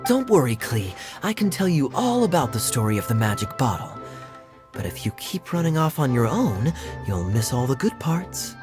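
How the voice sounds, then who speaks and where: A man voicing a suave, teasing young knight in a video game, who talks with smooth reassurance. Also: medium pitch, big pitch swings, normal speed, music in the background, close to an everyday voice. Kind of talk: video game cutscene dialogue.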